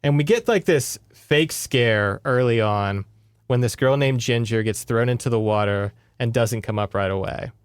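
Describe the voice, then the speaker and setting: A man's voice: mid-range voice, moderate pace, smooth, no echo. A man co-hosting a podcast, retelling a book in a casual, informal, chatty way. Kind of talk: casual conversational book recap.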